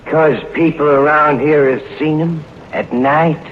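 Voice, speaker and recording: A man's voice: fairly high pitch, steady tone, unhurried, slight background noise, natural voice. An actor playing an eerie, folksy local man, taunting and ominous, in 1950s radio horror on a muffled old recording. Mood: insistent, ominous.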